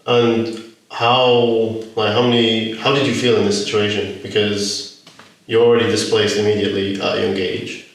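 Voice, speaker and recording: A man's voice: fairly low pitch, level tone, normal speed, gravelly, heavy reverb, distant mic, poor audio. A man hosting a podcast, chatting loosely, laughing often and rambling through his questions. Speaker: podcast host. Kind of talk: casual interview.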